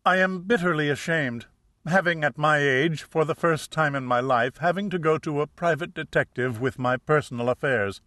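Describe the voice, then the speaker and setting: A male narrator acts out a nervous, well-off middle-aged woman in a detective audiobook. She sounds hesitant, earnest and plaintive, with a bright tone in a dry recording. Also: fairly high pitch, normal speed, dry recording. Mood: hesitant, ashamed. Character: anxious, ashamed middle-aged wealthy woman client.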